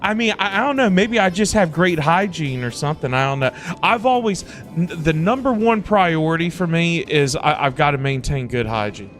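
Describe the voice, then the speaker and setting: A man with a slightly high voice chats casually as a livestream host. He rambles, circles back and repeats phrases in stop-start bursts, with faint music behind him. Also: expressive, normal speed, fairly bright tone. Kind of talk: casual livestream chat, reading and answering viewer messages.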